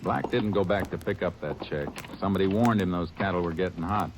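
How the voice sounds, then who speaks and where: An actor playing a steady, sharp-witted Texas Ranger who also narrates, in 1940s radio-drama style, calm and clipped. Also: male voice, sits low, a little fast, noisy background, lightly acted. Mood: determined. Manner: calm, clipped, matter-of-fact. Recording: old radio broadcast with music.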